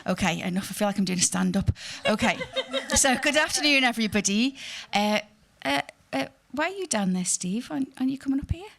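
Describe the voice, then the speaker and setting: A woman's voice: on the high side, animated, brisk, vocal fry, a bit bright. A fifty-year-old woman from Liverpool hosting a panel discussion, chatty and self-mocking, with lots of fillers. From Liverpool, England. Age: 50s. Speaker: panel host. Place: theatre symposium panel.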